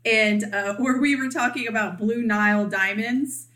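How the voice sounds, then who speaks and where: A woman co-hosting a podcast in casual chat, talkative and quick to laugh, often acting out what others said. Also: on the high side, steady tone, a bit bright, reverberant. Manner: chatty, laughs often, acts out quoted voices. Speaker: podcast co-host.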